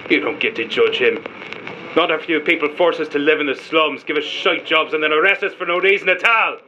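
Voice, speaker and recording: Man playing a hot-tempered prisoner, bright and forceful. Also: medium pitch, fairly quick, dull audio, slight background noise, natural voice. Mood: angry, defiant. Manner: defiant, emotional, swearing. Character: hot-tempered lower-class stowaway prisoner, fiercely loyal to his friend. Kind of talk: audio drama acting.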